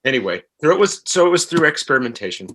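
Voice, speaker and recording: A middle-aged man, an actor being interviewed, speaks in a halting, thoughtful way and often repeats his phrases. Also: medium pitch, big pitch swings, bright, forceful tone. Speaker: actor guest. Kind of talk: reflective interview conversation.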